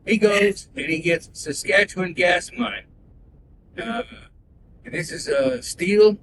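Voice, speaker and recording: A man with a high voice tells winding stories from the back of a moving car, stopping to restart and fill gaps with "uh". The hidden-mic recording carries a steady, clear road rumble under him. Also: slow pace. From born in Belgium, raised in Canada. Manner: folksy, digressive, with frequent "uh" and restarts. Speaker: rideshare passenger telling sailing stories. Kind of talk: rambling casual storytelling.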